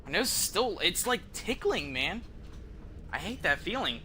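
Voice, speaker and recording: A man's voice: sits high, big pitch swings, a little slow, sharp tone, a little hiss or hum. A man chatting casually and rambling through gameplay commentary as a streamer, conversational and prone to swearing. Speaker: video game streamer.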